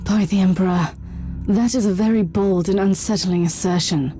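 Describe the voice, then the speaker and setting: A woman's voice: mid-range voice, normal speed, dark tone, faint music behind, natural voice. An actress playing a stern, pious female inquisitor in a video game, with a solemn, commanding manner. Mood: grave.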